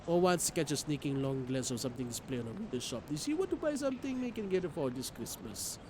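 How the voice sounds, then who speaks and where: A man streaming a game, reading its story aloud in an expressive, theatrical way. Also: on the high side, quick pace, a little hiss or hum. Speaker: streamer playing a visual novel. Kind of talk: dramatic read-aloud narration with live reactions.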